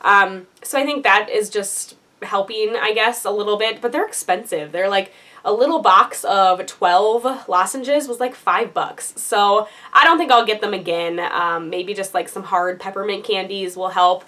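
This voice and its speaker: A woman's voice: medium pitch, measured pace, pushed and bright. A young woman giving a casual vlog update, chatty and full of fillers, her nose a little congested. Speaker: vlogger.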